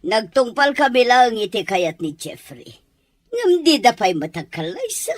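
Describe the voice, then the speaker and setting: A woman's voice: on the high side, big pitch swings, measured pace, dry recording. A woman playing a scheming, disapproving mother in an audio drama, speaking with disdain and emphasis. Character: scheming, disapproving mother, middle-aged or older. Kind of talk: Ilocano radio-style drama acting.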